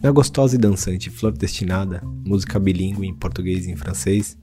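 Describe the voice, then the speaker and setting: Brazilian podcast host over guitar music. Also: male voice, deep voice, fairly quick. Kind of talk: scripted narration introducing an artist.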